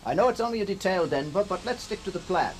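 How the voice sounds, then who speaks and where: A man's voice: sits high, lively intonation, quick pace, poor audio. An actor playing a musing, middle-aged man home after decades abroad, wry and hesitant, in a radio drama. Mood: brisk, attentive. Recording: faint background noise.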